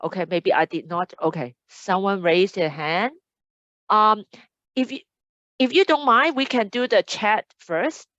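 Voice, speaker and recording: A woman's voice: medium pitch, measured pace, fairly bright tone, no echo, highs cut off. A woman hosting an online admissions webinar as a casual chat, upbeat and chatty as she reads out audience comments. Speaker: admissions host. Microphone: video call.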